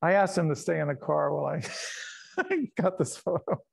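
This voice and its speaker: A man's voice: a little high, big pitch swings, normal speed, vocal fry, breathy edge. A middle-aged man who grew up in Panama, giving a casual slide talk about his nature photos, rambling with fillers, restarts and chuckles.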